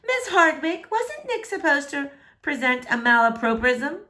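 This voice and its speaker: An eager, whining know-it-all girl from a school story, played by a woman reader. Her voice sits high and lilts with tattling self-importance. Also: sing-song, unhurried, bright, forceful tone, exaggerated voice. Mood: whiny, smug.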